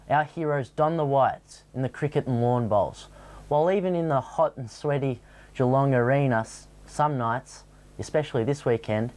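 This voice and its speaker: A man's voice: mid-range voice, darkish tone. A man with an Australian accent presenting a scripted sports segment.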